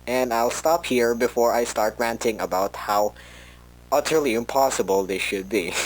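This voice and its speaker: A man's voice: mid-range voice, normal speed, slight background noise, rough recording. A man playing a researcher recording an informal log tape, talking in a hesitant, conversational way and often interrupting himself. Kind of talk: in-character monologue for a fan horror audio log.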